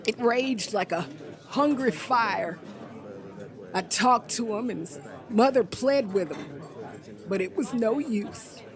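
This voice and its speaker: A woman performing a grieving alcoholic father in a radio drama, halting and mournful. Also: a little high, lively intonation, crowd noise. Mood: anguished.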